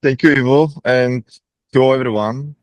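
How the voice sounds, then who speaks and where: A man with a Bulgarian accent answering as a company executive on an earnings call, haltingly and often repeating words mid-sentence. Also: medium pitch, steady tone, dry recording. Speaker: defense practice lead at a technology company.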